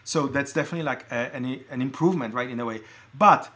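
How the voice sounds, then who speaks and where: A middle-aged man from Poland hosting a casual show-and-tell livestream, talking in a halting, conversational way and often interrupting himself. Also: mid-range voice, pushed and bright. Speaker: livestream host showing collected keyboards. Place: indoor room at a desk.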